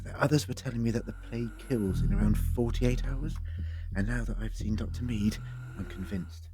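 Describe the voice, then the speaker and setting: A man's voice: medium pitch, normal speed, close to an everyday voice. A man playing an earnest, inquisitive time-travelling doctor in an audio drama, grave and precise in his explanations. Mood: grave, explaining. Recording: loud music under the voice.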